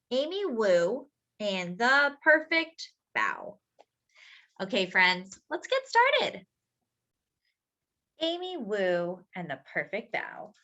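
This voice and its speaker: A woman's voice: a little high, sharp tone, highs cut off. A woman hosting story time, reading a picture book expressively for young children, stretching key words and adding simple explanatory asides.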